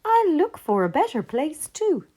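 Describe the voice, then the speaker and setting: A woman's voice: high-pitched, very animated, unhurried, warm tone, voice pushed up. A furry-eared lady rabbit from a picture book, acted by a woman. She sounds welcoming and sensible, and she takes her time. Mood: friendly, matter-of-fact.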